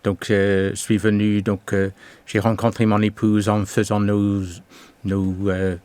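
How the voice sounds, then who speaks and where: Slow hesitant older man, English accent. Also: fairly low pitch, completely flat delivery, a little mellow, clean tone, no room sound. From England. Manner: slow, hesitant, many pauses and fillers. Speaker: pastor. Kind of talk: testimony interview.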